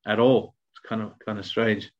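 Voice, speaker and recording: A man's voice: on the low side, level tone. South african guy interviewing, kinda muffled lo-fi mic, casual. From Durban, South Africa. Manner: conversational, halting restarts. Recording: slightly dull lo-fi audio.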